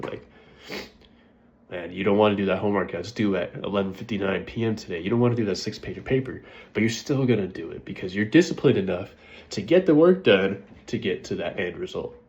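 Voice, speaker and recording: Stuffy-nosed guy, lowish voice, chatty pep talk to viewers on a muffled recording. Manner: conversational, keeps asking "right?". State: congested. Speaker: vlogger. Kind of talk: casual motivational talk to camera.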